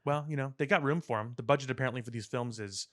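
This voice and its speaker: A man's voice: mid-range voice, quick pace, a bit bright. A man co-hosting a podcast, chatting casually about pop culture and prompting with questions. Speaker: podcast co-host.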